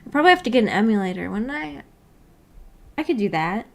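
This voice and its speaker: A woman's voice: on the high side, very animated, quick pace, gravelly. A woman hosting a casual livestream, rambling and thinking aloud in a laid-back way as she chats with viewers. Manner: reads viewer comments aloud and replies. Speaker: livestream host.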